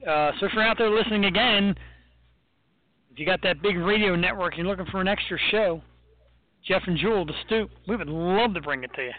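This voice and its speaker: A man co-hosting a radio talk show, bantering and teasing his co-host in a joking, animated way. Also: sits high, a little fast, no room sound. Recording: dry, phone-like narrow sound.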